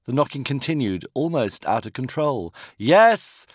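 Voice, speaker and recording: A man's voice: medium pitch, expressive, normal speed, no room sound. British man narrating, dry close sound. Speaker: author reading own novel. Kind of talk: audiobook narration of comic fiction.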